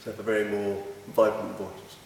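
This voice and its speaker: Low flat-sounding guy, monotone, far from the mic in a big echoey hall. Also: normal speed, vocal fry, a little hiss or hum. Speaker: club member. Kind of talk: casual interview.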